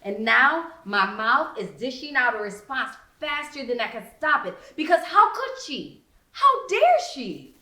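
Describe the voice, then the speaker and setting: Female author-narrator, teen first-person persona, theatrical delivery, bright forceful tone, roomy echo. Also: high-pitched, big pitch swings, measured pace. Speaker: author reading own novel. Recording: roomy, echoing sound.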